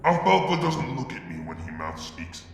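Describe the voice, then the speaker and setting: A man reading a fanfic aloud in a soft, halting first-person narration. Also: medium pitch, big pitch swings, creaky, reverberant, in a large hall, faint music behind. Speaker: fanfic reader. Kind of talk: dramatic story reading.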